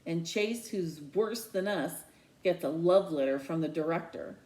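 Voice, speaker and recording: A man's voice: fairly high pitch, unhurried, a little mellow, natural voice. A woman voicing a resentful, sarcastic middle-school boy in a children's novel read aloud. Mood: bitter, sarcastic. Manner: indignant, sarcastic.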